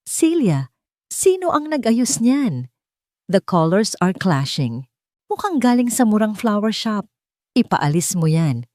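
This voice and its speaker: A woman's voice: medium pitch, sing-song, slow pace, long pauses, darkish tone, dry recording, pitched above the performer's own voice. Woman reader as spoiled rich young woman, audiobook drama: demanding, curt, imperious, dry sound. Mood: cold, critical. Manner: curt, imperious, fault-finding. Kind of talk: audiobook character dialogue.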